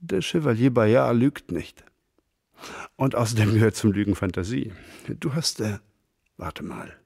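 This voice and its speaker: An actor playing a witty, wordy novelist with ornate, ironic phrasing in a radio crime drama. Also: male voice, lowish voice, animated, measured pace, vocal fry, darkish tone, breathy edge, no echo, clean studio sound, full character voice. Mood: musing, ironic. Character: witty, wordy male novelist, old friend of detective. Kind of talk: German radio play acting.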